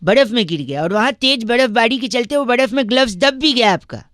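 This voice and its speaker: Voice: medium pitch, expressive, brisk, fairly bright tone, no echo. Persistent prank caller with dry, close sound. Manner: persistent, rambling mock-earnest storytelling. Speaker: prank caller, radio comedy host. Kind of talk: comic prank phone call patter.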